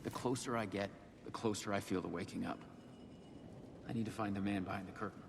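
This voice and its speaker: A man's voice: fairly low pitch, fairly even intonation, brisk. An actor playing a haunted, determined man in a video game, musing intensely in a naturalistic way. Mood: obsessed, uneasy. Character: haunted, determined man chasing an elusive figure. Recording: clear background ambient noise.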